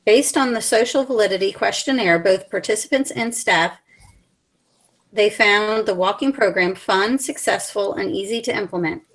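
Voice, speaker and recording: Woman in her forties, middle pitch, steady scripted reading, small slips. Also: normal speed, low-quality recording. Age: 40s. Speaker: graduate student presenter. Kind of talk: reading a class presentation.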